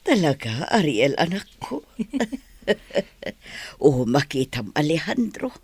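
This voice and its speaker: A woman with a Filipino accent playing a devoted middle-aged mother in a sentimental audio drama, breathy and tender. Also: low-pitched, sing-song, takes it slowly, a little mellow, no echo, exaggerated voice. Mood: tender, determined.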